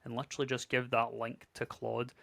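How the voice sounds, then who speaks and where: Scottish guy in his 30s, tutorial host, chatty and upbeat, bit of fry, dry close sound. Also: mid-range voice, completely flat delivery, fairly quick, a bit bright, no echo. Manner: chatty, upbeat, conversational with filler words. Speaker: tech YouTuber. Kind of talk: casual screen-share tutorial.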